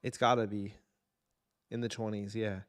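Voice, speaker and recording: A man's voice: on the low side, little pitch movement, normal speed, no room sound, clean studio sound. A man co-hosting a podcast with casual banter, often repeating his phrases in short bursts. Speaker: podcast co-host.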